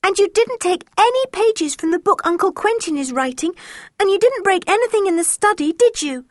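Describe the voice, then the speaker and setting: A gentle, anxious young girl from a children's story, worried and coaxing, voiced by a woman. Her voice is high and lively, with a dry close sound. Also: fairly quick, dry recording.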